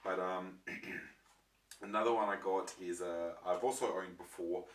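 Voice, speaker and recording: A young man with a croaky voice from a throat infection, chatting casually to his viewers with lots of ums and restarts. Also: sits low, steady tone, measured pace. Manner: rambling, many ums and restarts. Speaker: vlogger showing his disc collection. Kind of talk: casual chat to camera. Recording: roomy, mic far off, faint noise.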